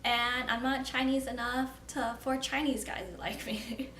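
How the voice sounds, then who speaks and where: A woman's voice: on the high side, flat delivery, unhurried, a bit bright. A young woman in her twenties talking frankly and casually to camera as a vlogger, filling her sentences with "like.". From United States. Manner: chatty, frank, frequent "like" fillers. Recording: roomy echo with faint ambient noise.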